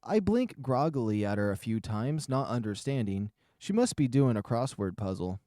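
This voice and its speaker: A man's voice: mid-range voice, animated, moderate pace, warm tone, no room sound, studio quality. A man reading scripted first-person narration from a visual novel.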